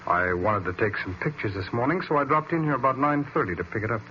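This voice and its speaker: An actor playing a polite, guarded gentleman, a dead man's nephew, in an old-time radio drama. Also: mid-range voice, expressive, fast. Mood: guarded, subdued. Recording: muffled, hissy vintage radio recording.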